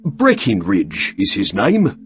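A man's voice: on the low side, huge pitch swings, takes it slowly, full character voice. A man voicing a friendly, chatty male pub landlord.

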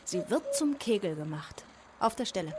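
A woman's voice: mid-range voice, big pitch swings, moderate pace, background noise, natural voice. An actress in a radio play playing a bossy, vindictive female sergeant, scolding and emphatic. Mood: indignant.

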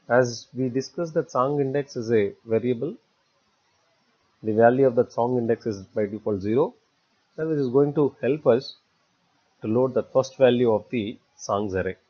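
A man narrating a step-by-step screencast tutorial as an instructor, phrasing haltingly in short, repetitive chunks. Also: medium pitch, mellow. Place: voice-over for a screen recording. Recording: dull, lo-fi voice recording.

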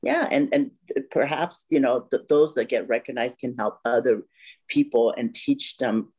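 A woman's voice: very deep voice, lively intonation, normal speed, darkish tone, slightly rough. A woman in her fifties, born in Vietnam, talking as an interview guest over a video call, with many "you know" fillers. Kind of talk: casual interview chat. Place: home room by a bright window.

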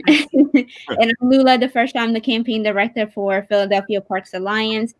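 A woman with a fairly high voice hosts a livestream in a casual, chatty style. She is on a video call, with little room sound around her. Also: flat delivery, moderate pace, no room sound. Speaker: host, campaign director. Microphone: video call mic.

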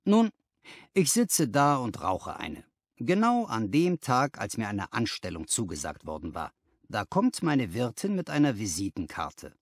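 A man reads a first-person story for an audiobook. His storytelling is expressive, with very varied intonation. The recording is dry and close. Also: mid-range voice, a little slow, dry recording. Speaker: audiobook narrator.

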